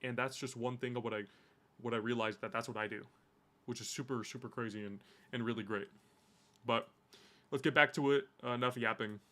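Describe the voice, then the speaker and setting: A man's voice: mid-range voice, steady tone, fairly quick, sharp tone. A man casually reacting to a course video, thinking aloud with loose swearing and frequent repeated words, and he says he has a stutter.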